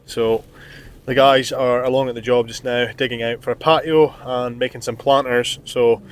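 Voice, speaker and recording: A man's voice: medium pitch, steady tone, normal speed. Stuffy-nosed young Scottish man. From Scotland. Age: 20s. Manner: candid, chatty, with fillers and "uh". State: congested with a cold. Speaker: vlogger and business owner. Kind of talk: casual vlog narration and chat. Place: outdoors at a job site, snowy street.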